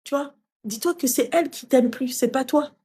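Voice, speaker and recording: Husky woman reassuring a caller. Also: sits high, normal speed, a little mellow. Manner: affectionate, reassuring, calls callers "my little heart". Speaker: live stream host giving advice to callers. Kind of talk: casual live call-in chat.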